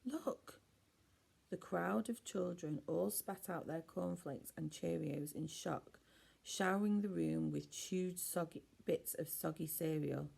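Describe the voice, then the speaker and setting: A woman's voice: fairly low pitch, measured pace, creaky, a little mellow, slightly rough. A woman reading a children's storybook aloud expressively, as a teacher does for a class.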